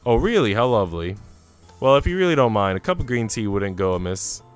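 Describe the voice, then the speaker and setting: A man voicing a kind, tired working mother, gently teasing, in a visual novel read-aloud. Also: lowish voice, lively intonation, brisk, clear, resonant tone, soft background music, lightly acted. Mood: warm.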